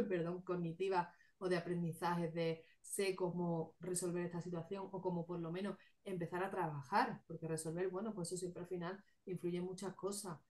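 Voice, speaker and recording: A woman psychologist with a regional Spanish accent speaks as an interview guest. Her voice sits a little low, and she explains calmly, often working through lists of points. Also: normal speed. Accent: non-Madrid Spanish. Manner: calm, explanatory, lists things. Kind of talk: casual interview.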